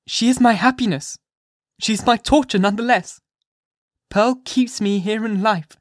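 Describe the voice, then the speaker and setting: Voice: mid-range voice, a little slow, dry recording, studio quality, pitched above the performer's own voice, close to an everyday voice. A reader voicing a desperate young mother who pleads passionately, near shrieking, to keep her child. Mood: anguished. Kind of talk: audiobook reading.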